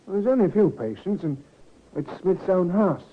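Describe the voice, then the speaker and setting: Timid, obedient manservant, highish lively voice, halting and respectful, dull vintage radio sound. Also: male voice, husky. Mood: nervous, hesitant. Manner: hesitant, deferential. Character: meek, deferential male household servant, simple and hesitant. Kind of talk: old-time radio acting.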